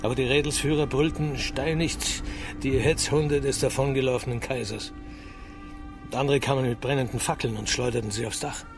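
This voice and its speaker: An actor playing an exhausted, grim military officer of ancient China, reporting gravely in a radio drama. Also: male voice, medium pitch, steady tone, moderate pace, natural voice. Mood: grim, shaken. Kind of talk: radio crime drama acting. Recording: music behind the voice.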